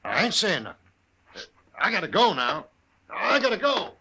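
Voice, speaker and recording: High, sharp, blustering cowhand. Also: male voice, huge pitch swings, normal speed, creaky, slightly rough, full character voice. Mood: flustered, backing down. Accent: American. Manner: impatient, blustery. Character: blustering, bigoted stable hand, quick to back down. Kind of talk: 1950s western radio drama acting.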